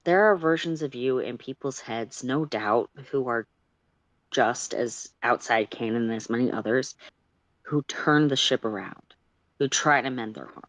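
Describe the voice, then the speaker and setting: A livestream reader voicing a thoughtful, philosophical otherworldly guide in a story, measured and reflective. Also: mid-range voice, fairly bright tone, no echo, rough recording. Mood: thoughtful, gentle.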